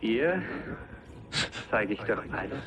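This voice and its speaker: A man's voice: medium pitch, expressive, slow. An actor playing a sadistic German henchman in a video game, menacing and savouring his words. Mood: doting. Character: sadistic, doting German henchman, a man, sinister. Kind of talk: video game cutscene acting. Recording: loud noise behind the voice.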